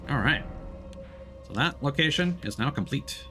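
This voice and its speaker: A man giving casual live commentary as he streams a video game, often pausing to think aloud. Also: medium pitch, animated, bright, forceful tone, faint music behind.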